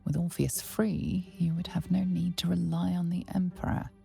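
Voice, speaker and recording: An actress voicing a grave storyteller who narrates a video game, hushed, breathy, measured and ominous, with music underneath. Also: female voice, lowish voice, steady tone. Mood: wary, foreboding. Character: grave, foreboding female storyteller advising the hero.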